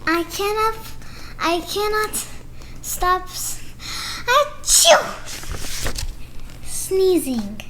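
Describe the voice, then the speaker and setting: Storybook elephant, male, anxious and melodramatic, bright, drawn-out delivery played by a young girl. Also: extremely high pitch, sing-song, a little hiss or hum, full character voice. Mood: distraught, sneezing. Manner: hesitant, dramatic, exclaiming. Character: anxious, dramatic, sneezy male cartoon elephant friend.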